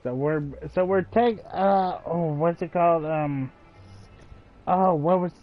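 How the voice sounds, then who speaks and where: A man's voice: a little high, slow, soft background music. A man chatting casually as he live-streams a video game. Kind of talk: casual live gameplay chat. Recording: dull, lo-fi stream audio.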